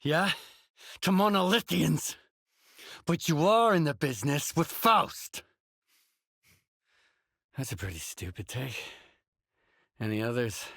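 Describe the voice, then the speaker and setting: An actor playing a gruff, cynical and weary stalker in a video game, terse and sardonic in manner, with a husky voice. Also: male voice, a little high, animated, slow pace, long pauses, fairly bright tone, no echo, clean studio sound, exaggerated voice. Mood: angry, heated, then guarded, dry. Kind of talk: video game voice lines, dramatic acting.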